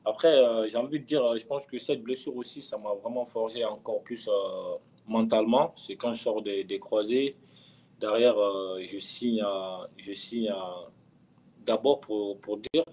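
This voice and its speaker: A man's voice: fairly low pitch, steady tone, a little slow, a little mellow. A man in his thirties, a footballer being interviewed, talking casually and often repeating words and phrases for emphasis. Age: 30s. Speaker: professional footballer, interview guest. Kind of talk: casual conversational storytelling.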